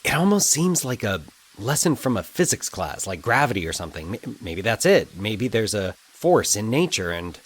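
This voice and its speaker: A man voicing an anxious, excitable male character thinking aloud in halting, agitated bursts in an audio drama. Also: fairly low pitch, breathy edge. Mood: excited, grappling. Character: Mike, anxious excitable man puzzling out eerie coincidences. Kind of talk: dramatic audio fiction monologue.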